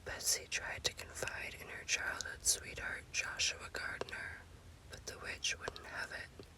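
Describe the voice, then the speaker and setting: A woman narrates a scary story as ASMR in an unvoiced, breathy whisper, in deliberate short phrases. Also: rough recording. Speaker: storyteller, host.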